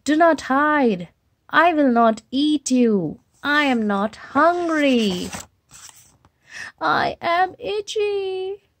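Woman playing big itchy T-Rex, storybook, high voice, creaky, commanding, pleading. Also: expressive, drawn-out words, fairly bright tone, slight background noise, exaggerated voice.

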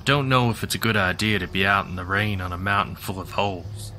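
A man's voice: low-pitched, fairly quick, pushed and bright, a little hiss or hum. A man playing a wary, sensible teenage boy in an audio horror drama.

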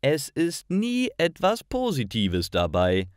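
A man's voice: on the high side, wildly swooping pitch, slow pace, clean tone, dry recording, studio quality, pitched above the performer's own voice, heavily acted. A man voicing a storybook mother and daughter who complain earnestly, carefully enunciating each word. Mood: earnest complaint. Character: mother and young daughter complaining about gloomy radio news.

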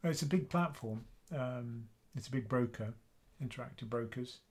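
A soft-spoken British man in his fifties answers viewers' questions live from a webcam at his desk. His delivery is casual and chatty, sitting in the middle of the usual range for a male voice, and it is recorded in a small room at home. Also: medium pitch, normal speed, breathy edge. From United Kingdom. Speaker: investing channel host. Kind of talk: live Q&A stream.

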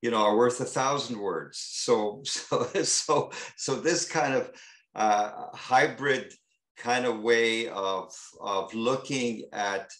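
A man speaking as an artist on a panel, talking in a halting way with many fillers and restarts. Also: medium pitch, fairly bright tone, reverberant. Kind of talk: panel discussion. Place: gallery talk room.

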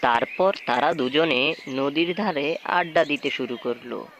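A man narrating a children's animated folk tale in a scripted storytelling style. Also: fairly high pitch, unhurried, slight background noise.

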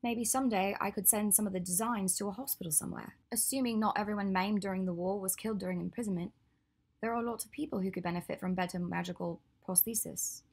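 A woman reading the part of an earnest, clever young witch, her voice a little raised and husky. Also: mid-range voice, fast, a little mellow. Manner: earnest, then sulky and teasing.

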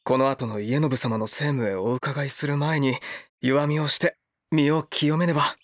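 A man's voice: medium pitch, steady tone, moderate pace, dry recording, clean studio sound, natural voice. A man playing an earnest, formal young retainer in a cartoon, polite and properly dutiful.

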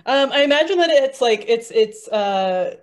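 A woman's voice: on the high side, animated, unhurried. A woman chatting casually on a video call during a tabletop game, hesitant and thinking aloud as she goes. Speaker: player and guest author. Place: indoors, on a group video call.

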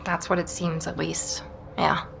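An actress playing an earnest, determined young woman investigator in an audio drama, speaking in a measured, reflective way. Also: lowish voice, completely flat delivery, gravelly, a bit bright, a little hiss or hum, heavily acted. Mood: thoughtful, weary. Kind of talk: audio drama, conversation and first-person narration.

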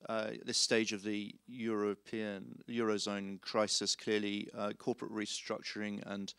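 Male forties moderator, measured and filler-heavy, smooth and monotone, dry handheld mic. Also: on the low side, no room sound. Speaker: panel moderator. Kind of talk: introducing a seminar. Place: conference hall, seated on stage.

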